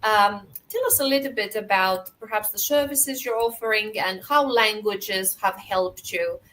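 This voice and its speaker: A woman hosting a podcast interview, with a voice at a middle pitch. She asks her questions in a friendly, conversational way and talks over a video call. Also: unhurried, fairly bright tone, poor audio. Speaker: podcast host. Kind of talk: casual interview chat.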